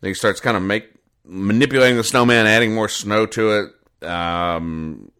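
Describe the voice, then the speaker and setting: A man hosting a casual comedy podcast, retelling comic strips in a halting, conversational way and explaining the jokes with mock earnestness. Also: lowish voice, bright, forceful tone, clean tone. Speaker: podcast host.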